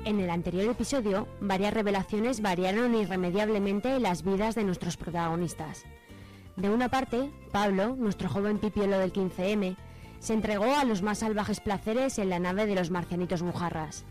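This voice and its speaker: A woman's voice: mid-range voice, moderate pace, a little mellow. A woman narrator with an accent from Spain tells a radio soap story. Her mid-range voice is mock-solemn and scripted, set over a light music bed.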